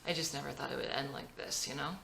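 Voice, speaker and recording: A woman's voice: very deep voice, steady tone, fast, vocal fry, bright, forceful tone, a little hiss or hum, pitched below the performer's own voice, exaggerated voice. A woman voicing a troubled, weary young female musician in a subdued, resigned manner. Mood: resigned, sad.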